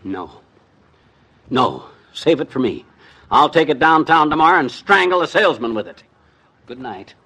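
A man's voice: a little high, sing-song, normal speed, pauses often, pushed and bright, breathy edge, heavily acted. A man playing a grumbling, stammering, henpecked chubby American husband with folksy slang in an old-time radio comedy. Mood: grumpy.

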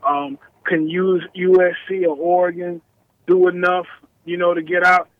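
A man's voice: high voice, little pitch movement, moderate pace, no room sound. A man calling in as a guest football analyst, easygoing, with hesitant fillers. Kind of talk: phone-in radio interview. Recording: tinny phone line.

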